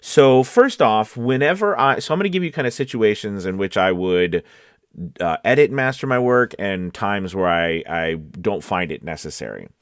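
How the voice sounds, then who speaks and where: A man's voice: fairly low pitch, animated, normal speed, clean tone, no echo. A man speaking as a voice-over coach in a casual tutorial, conversational and often checking in with his listeners. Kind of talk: casual talking-to-camera tutorial. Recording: close, dry home studio sound.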